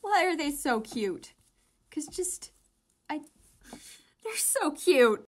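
A woman's voice: high-pitched, big pitch swings, takes it slowly. A woman chatting casually as she reacts to fan comics, rambling and gushing with a giggly manner. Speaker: host reading fan comic dubs and commenting.

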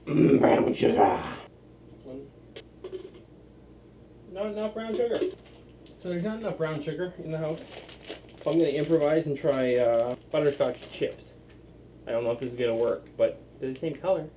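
A man's voice: on the high side, normal speed, pauses often, mellow, slight background noise, rough recording. A drunk young man rambling and playing silly while he bakes in a small home kitchen, recorded by a camera across the room. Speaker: vlogger. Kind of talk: drunken cooking demo vlog.

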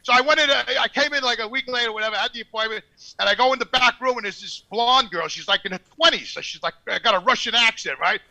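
A man's voice: very high-pitched, fast talker, low-quality recording. A middle-aged man telling a rowdy story on a video-call podcast through a headset mic, laughing and swearing. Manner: excitable, laughing, swearing, loud when worked up. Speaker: regular panelist. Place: home office.

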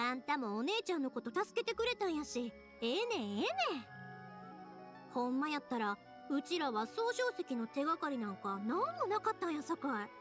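A woman playing a cheerful, kind-hearted young woman in an anime game, with a Kansai accent and an expressive, informal manner. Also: high-pitched, normal speed, soft background music. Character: cheerful, kind-hearted young woman, big-sisterly fireworks-maker type.

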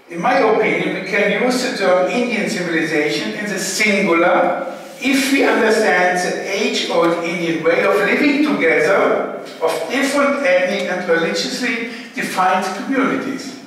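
High-pitched German man lecturing in echoing hall. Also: a bit bright. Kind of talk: formal academic lecture. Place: indoor hall, standing at a lectern. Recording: echoey hall, distant mic, faint noise.

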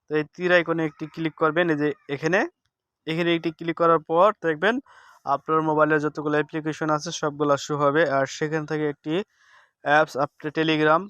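A man's voice: on the high side, a bit bright, clear, resonant tone, dry recording. A man giving a casual step-by-step tutorial, instructive and repeating himself as he goes. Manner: instructive, repeats steps and seeks confirmation. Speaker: tech tips host. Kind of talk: casual screen-recording tutorial.

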